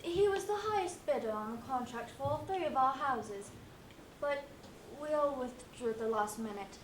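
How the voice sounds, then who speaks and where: A prim and genteel pig from a comic fairytale play, acted by a woman. The high voice is formal and prissy. It sounds in an echoey room with noise behind it. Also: expressive, moderate pace. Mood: prim, composed. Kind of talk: comic stage-play acting.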